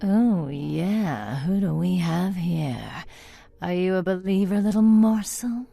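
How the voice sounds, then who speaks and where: An actress playing a seductive, deranged vampire cult zealot in a video game, purring theatrically with predatory sweetness. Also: female voice, on the low side, dark tone, dry recording, full character voice. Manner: breathy, drawn-out, theatrical purring. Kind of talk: video game villain dialogue. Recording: music behind the voice.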